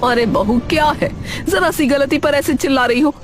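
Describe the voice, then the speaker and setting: Woman doing a grumbling, high-voiced older mother-in-law. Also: normal speed, over music, natural voice. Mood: scolding. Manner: complaining, exclamatory. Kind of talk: cartoon character acting.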